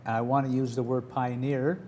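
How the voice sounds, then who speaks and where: A man hosts a local TV interview show, chatty and rambling, often circling back on his own questions. His voice is mellow and warm at a middle pitch. There is faint studio ambience behind him. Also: flat delivery, normal speed, a little hiss or hum. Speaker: TV talk show host.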